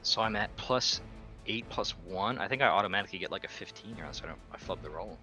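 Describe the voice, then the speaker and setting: A man's voice: sits low, fast, pushed and bright, soft background music. A man playing a tabletop game, chatting casually with wry, sarcastic banter.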